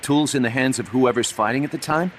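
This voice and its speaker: An earnest young mercenary businessman, voiced by a man, who pushes his ideas with energy. His voice sits at a middling pitch. Faint cutscene noise hangs behind him. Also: brisk, natural voice. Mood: persuasive. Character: eager young male mercenary business partner.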